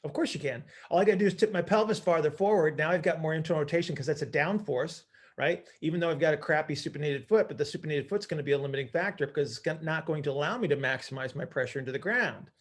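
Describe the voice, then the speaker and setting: A man's voice: fairly high pitch, quick pace. A man leading an informal group call as coach, teaching emphatically by posing questions and answering them himself. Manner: emphatic, asks and answers own questions, often checks with "right?".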